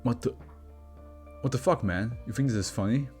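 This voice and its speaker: Man putting on a volatile condemned male convict, rough-mouthed, agitated, intense, unravelling, audio story, faint music. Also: mid-range voice, moderate pace, darkish tone, natural voice. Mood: panicked.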